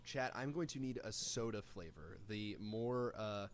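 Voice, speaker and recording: A man's voice: lowish voice, expressive, slight background noise. Male game master improvising aloud. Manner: halting, searching for words while improvising. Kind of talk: improvised live tabletop game narration.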